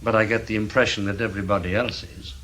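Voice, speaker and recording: A man acting in a radio drama as a guarded, stubborn intelligence investigator, speaking in a measured, blunt way. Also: on the low side, steady tone, sharp tone, poor audio. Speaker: lead actor and first-person narrator.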